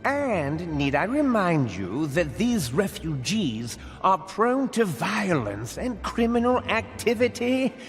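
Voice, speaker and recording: A man's voice: high-pitched, very animated, slow pace, hardly any pauses, in a large hall, soft background music, full character voice. A man voicing a scheming, condescending wealthy merchant lord in a game cutscene, drawling and theatrical. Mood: contemptuous.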